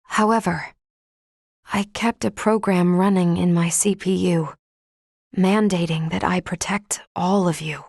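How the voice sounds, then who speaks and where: An actress playing a polite, composed female android from a video game, gently caring like an elder sister, with formal, measured, precise phrasing. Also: on the low side, steady tone, gravelly, no echo, studio quality. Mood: reflective, moved. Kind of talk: video game character acting.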